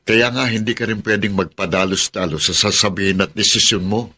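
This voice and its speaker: A man's voice: fairly low pitch, fairly even intonation, a little fast, sharp tone, breathy edge. A man playing a frank, worldly friend in a Filipino radio drama, giving emphatic, cautionary advice. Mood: earnest, advising. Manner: emphatic, advising.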